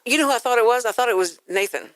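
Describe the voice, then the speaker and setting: A woman's voice: on the high side, expressive, racing, a bit bright, no echo. Playful woman hosting a casual radio chat, dry sound. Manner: playful, self-deprecating. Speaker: radio show host. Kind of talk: casual chatty interview. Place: radio studio.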